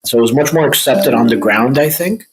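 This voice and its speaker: A man's voice: mid-range voice, brisk, slightly rough. A man talking casually as an interview guest and business owner. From Egypt, by birth.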